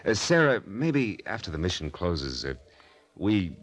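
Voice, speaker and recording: A high-rolling gambler from an old radio drama. His voice is deep yet moves in lively swings, terse and gruff with a wistful, lovestruck hesitancy underneath. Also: a little slow, full character voice. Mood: smitten, awkward.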